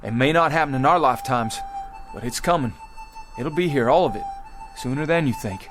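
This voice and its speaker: A man's voice: medium pitch, fairly quick, lightly acted. A man acting a hopeful, visionary pioneer farmer in a radio drama, speaking with rustic fervor. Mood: fervent hope. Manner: fervent, rustic speech. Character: hopeful, visionary pioneer farmer leading a wagon train west. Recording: music behind the voice.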